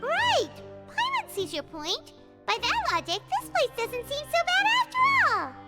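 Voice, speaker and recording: A woman voicing a small, childlike fairy companion in a video game, chirpy, wary and excitable. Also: very high-pitched, a little slow, over loud music, exaggerated voice. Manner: excitable, exaggerated, expressive.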